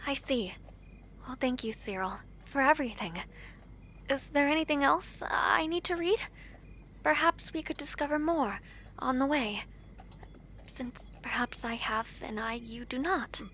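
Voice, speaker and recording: A woman playing a gentle, well-mannered young princess in a fantasy audio drama, with formal, thoughtful speech. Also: high voice, expressive, measured pace, slight background noise, heavily acted. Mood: gentle, grateful.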